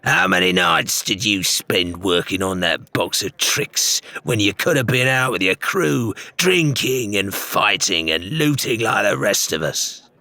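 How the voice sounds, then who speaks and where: A man's voice: lowish voice, sing-song, unhurried, vocal fry, few pauses, bright, forceful tone, no echo, full character voice. A man voicing a hostile pirate captain in an audiobook, sneering and demanding as he challenges a rival. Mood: scornful.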